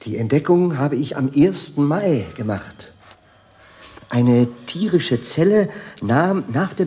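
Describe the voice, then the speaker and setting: A mild, slow-spoken scientist professor. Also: male voice, medium pitch, a little mellow. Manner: hesitant, explanatory, measured. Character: Jefrosimov, mild, nervous, absent-minded scientist professor. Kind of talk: German radio play acting.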